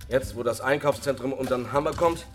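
A man's voice: mid-range voice, brisk, soft background music, close to an everyday voice. A man playing a cautious lawyer and reluctant lover in a German radio crime drama, sober and reasoning. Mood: cautious, uneasy.

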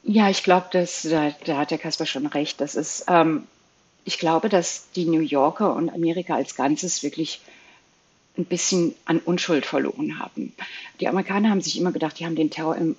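A woman's voice: low-pitched, a little fast, darkish tone, breathy edge, lo-fi sound. A middle-aged woman from Belgium recalling personal experiences in a conversational, searching way as an interview guest. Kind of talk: personal recollection in a panel interview.

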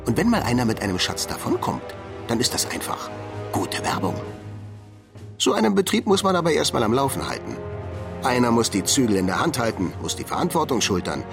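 Cowardly cartoonish duck, hugely animated pitch, creaky edge, boastful and babbling, radio play, music behind. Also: male voice, a little fast. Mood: grandiose. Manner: theatrical, stammering when pleading. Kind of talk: comic fantasy radio drama.

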